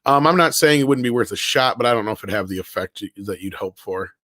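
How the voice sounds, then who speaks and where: A man's voice: mid-range voice, lively intonation, fast talker, a bit bright, dry recording, clean studio sound. A man hosting a casual panel chat in an informal, rambling way with some swearing. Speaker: panel show host.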